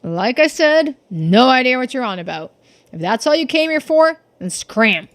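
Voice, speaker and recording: A woman's voice: sits high, very animated, moderate pace. A woman voicing a cantankerous, dismissive old male tycoon from a video game, with blunt, folksy old-timer phrasing. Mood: gruff, dismissive.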